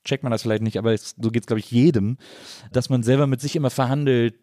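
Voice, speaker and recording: A man's voice: medium pitch, steady tone, fast, dark tone, no echo, clean studio sound. A man hosting a casual podcast interview, talking in a reflective, somewhat tentative way. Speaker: podcast host.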